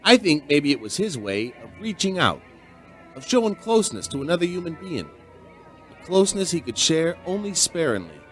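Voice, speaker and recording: A man's voice: on the high side, very animated, normal speed, faint music behind. An actor playing an earnest, sentimental male bartender who tells a strange tale in a dramatic radio play style. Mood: reflective. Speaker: bartender and storyteller. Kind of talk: radio drama acting and narration.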